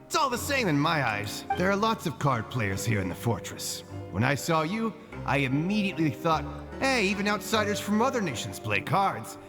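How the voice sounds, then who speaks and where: A man's voice: fairly high pitch, wildly swooping pitch, normal speed. A man playing a friendly, easygoing inmate and card game fan in a video game, chatty and affable. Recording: game music behind the voice.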